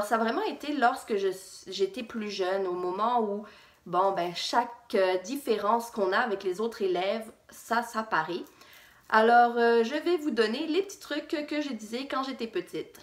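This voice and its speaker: A woman's voice: fairly high pitch, echoey room. A young woman from Réunion with an accent that mixes French and Québécois, telling a personal story to camera in a casual, hesitant way. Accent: French mixed with Québécois. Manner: conversational, frequent hesitations. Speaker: vlogger.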